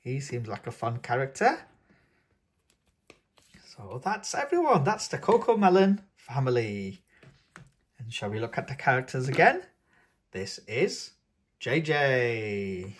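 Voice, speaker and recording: A man's voice: medium pitch, very animated, takes it slowly, pauses often, slightly rough. A man reading a picture book aloud to toddlers in a sing-song, coaxing tone as host of his own channel. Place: indoors at a tabletop, hands showing a book.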